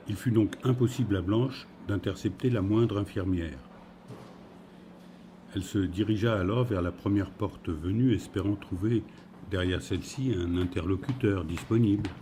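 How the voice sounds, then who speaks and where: A man's voice: on the low side, mellow, slight background noise. A man narrating a scripted story.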